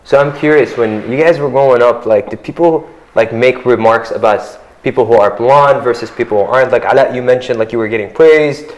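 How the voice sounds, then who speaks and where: A young adult man hosting a casual panel discussion, asking curious questions in a relaxed, slangy way. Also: mid-range voice, brisk, hardly any pauses, poor audio. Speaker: host.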